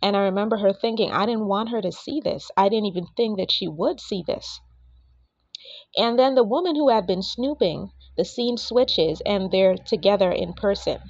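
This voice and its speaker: Woman, raspy, under the weather, husky, earnest casual preaching, dry dull recording. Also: medium pitch, normal speed, a little mellow, dry recording. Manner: earnest, direct, uses casual slang. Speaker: prophetic minister. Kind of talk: casual prophetic message.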